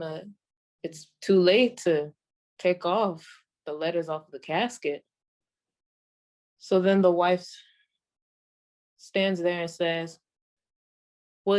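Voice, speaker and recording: A woman playing a folksy older stand-up comedienne of the 1940s, telling jokes in a drawn-out, deliberate way. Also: lowish voice, a little mellow, close to an everyday voice.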